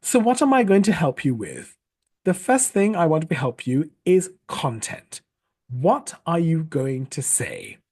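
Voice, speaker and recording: A man's voice: a little high, wildly swooping pitch, measured pace, a little mellow, breathy edge. A man from Sri Lanka giving a patient, explanatory storytelling lesson, with frequent small restarts. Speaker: storytelling coach. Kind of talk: online teaching talk.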